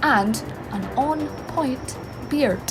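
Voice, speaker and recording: A woman's voice: high-pitched, drawn-out words. A young woman playing a playful, gossipy, coaxing student friend in an audio drama. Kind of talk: audio drama acting, casual banter. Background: music.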